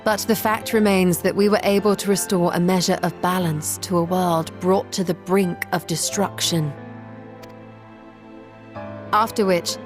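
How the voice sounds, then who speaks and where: An actress playing a composed, scholarly woman in a fantasy game cutscene, measured, formal and patiently explanatory. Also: on the low side, lightly acted. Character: composed, scholarly female sage, patient and hopeful. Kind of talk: dramatic video game cutscene acting. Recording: music behind.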